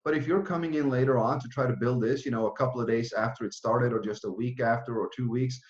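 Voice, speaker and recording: Man in his thirties, roomy lo-fi sound. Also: mid-range voice. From Norway. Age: 30s. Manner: fast, run-on, with frequent restarts and fillers. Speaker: project founder presenting. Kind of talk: online presentation with Q and A.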